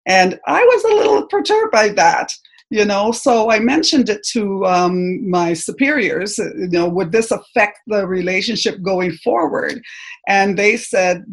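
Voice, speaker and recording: A middle-aged woman from Canada with no accent, giving a personal testimony in church, hesitant and full of pauses and ums. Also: medium pitch, animated. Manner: hesitant, halting, many ums.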